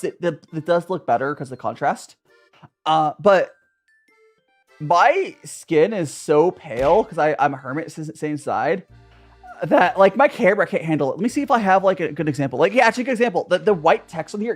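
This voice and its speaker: A young man with a Southern Californian accent talks casually to his live chat, rambling and joking at his own expense. His pitch swings up and down a lot as he goes. Soft music plays faintly behind him. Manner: rambling, chatty, self-mocking, many restarts and fillers. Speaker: streamer hosting a tea chat. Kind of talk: casual livestream chat with viewers.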